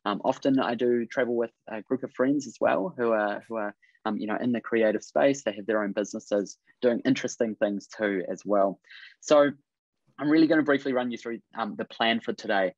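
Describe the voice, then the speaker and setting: A man's voice: mid-range voice, a little fast, dry recording. A man in his thirties with a New Zealand accent, hosting a webinar over a video call in an upbeat, encouraging way with many fillers. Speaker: business coach, webinar host. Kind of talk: casual webinar presentation. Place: indoor room on video call. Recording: dry, dull video call audio.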